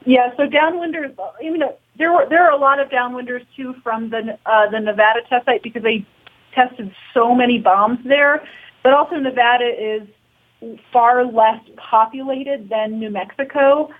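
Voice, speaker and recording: Phone-like sound, woman, slightly high voice, casual interview guest, informal, hesitant explanations. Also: fairly even intonation, normal speed. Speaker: documentary producer, interview guest. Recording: thin, phone-like narrow band.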